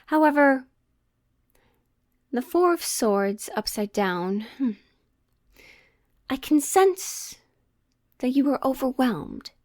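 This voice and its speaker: Slow-talking witch fortune teller played by a woman. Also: high-pitched, expressive, a little mellow, no echo, clean studio sound. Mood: knowing, solemn. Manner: slow, deliberate, theatrical, with pondering pauses. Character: witch tarot reader, mysterious, teasing, gently bossy woman. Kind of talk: improvised audio roleplay, addressing the listener.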